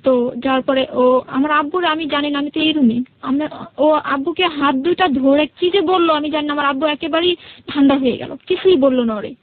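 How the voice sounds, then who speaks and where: A woman with a notably high voice and a Bangladeshi accent tells a personal story as a radio guest. She speaks colloquially and haltingly, often circling back over her own phrases. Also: phone-quality sound. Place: radio studio.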